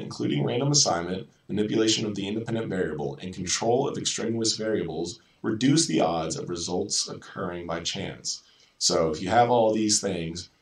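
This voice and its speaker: Low-voiced man giving a casual lesson. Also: far from the mic, poor audio. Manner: informal, self-correcting, repeats words. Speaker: educational video host reading lesson notes. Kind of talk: casual lecture reading from slides with asides.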